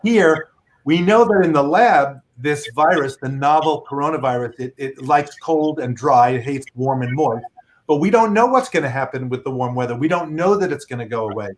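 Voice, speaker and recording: A man's voice: medium pitch, animated, normal speed. A middle-aged man, a physician guest, explaining earnestly and patiently with examples on a video call.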